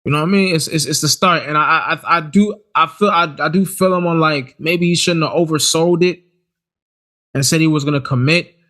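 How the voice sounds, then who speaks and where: A man's voice: on the high side, brisk. A man co-hosting a podcast, chatting casually and animatedly, often restarting his sentences. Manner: animated, frequent restarts and stammers. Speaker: podcast co-host. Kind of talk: casual chat.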